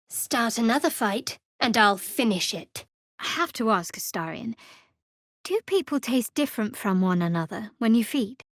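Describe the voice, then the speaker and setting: A woman playing a guarded, sardonic young cleric of a dark goddess in a video game, with a dry, wry delivery. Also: fairly high pitch, dry recording, close to an everyday voice. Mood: fierce, then teasing. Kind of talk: dramatic video game voice acting. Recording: very dry close studio recording.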